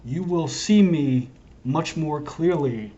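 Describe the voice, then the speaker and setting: A hoarse man talking to camera in a wandering, self-interrupting, earnest monologue as a self-styled prophet. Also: on the high side, takes it slowly, warm tone, dull audio. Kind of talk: rambling solo monologue to camera.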